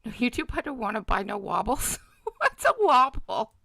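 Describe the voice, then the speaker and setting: Chatty woman streamer with creaky voice. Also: a little high, sing-song, moderate pace, pushed and bright, no echo. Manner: chatty, reactive, talks to viewers. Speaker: livestream host reacting to a clip. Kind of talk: casual live chat commentary.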